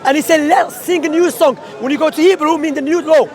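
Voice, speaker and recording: A man's voice: extremely high pitch, fairly even intonation, quick pace, a little mellow. Insistent man preaching over a crowd. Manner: insistent, interrupting, quotes scripture. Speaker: street preacher. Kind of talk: street debate. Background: crowd chatter.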